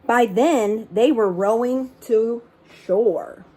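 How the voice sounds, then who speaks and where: A woman reading a picture book aloud like a teacher, expressive and instructive, speaking to young listeners. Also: on the high side, slow pace, slightly rough. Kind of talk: storybook read-aloud with brief asides.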